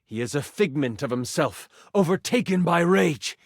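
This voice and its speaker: Male game master narrator, slightly higher register, dramatic and suspenseful fantasy delivery, dry studio sound. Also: animated, moderate pace, no echo. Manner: theatrical, suspenseful storytelling.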